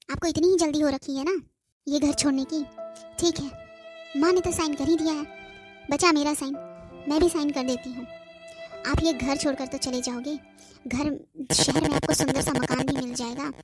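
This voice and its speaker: A woman's voice: sits high, a little mellow, close to an everyday voice, poor audio. A woman voicing an earnest, sentimental young daughter in a cartoon family drama, pleading and reproachful. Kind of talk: amateur cartoon voice acting.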